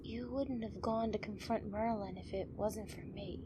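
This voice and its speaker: A woman playing an injured, stubborn, sardonic tough woman in an audio drama, often hushed and breathy. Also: medium pitch, fairly even intonation, normal speed, close to an everyday voice. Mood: subdued, confessional. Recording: clear background ambience noise.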